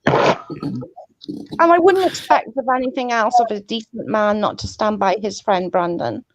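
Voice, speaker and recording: Earnest higher-voiced woman who stutters. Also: animated, measured pace, a little hiss or hum, rough recording. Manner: earnest, pleading, says she stutters. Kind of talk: heated casual chat. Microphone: video call.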